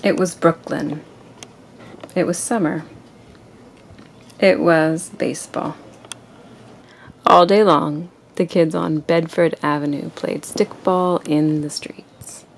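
A woman reading a children's picture book aloud to a child in an expressive storytelling way. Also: low voice, takes it slowly, creaky, pauses often. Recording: noisy amateur home recording.

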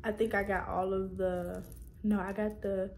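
A woman's voice: medium pitch, flat delivery, unhurried, creaky, a little mellow, slight background noise. A young woman vlogging casually at home, chatting to her viewers and correcting herself as she goes. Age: young adult. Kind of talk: casual chat to camera. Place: small room at home, indoors.

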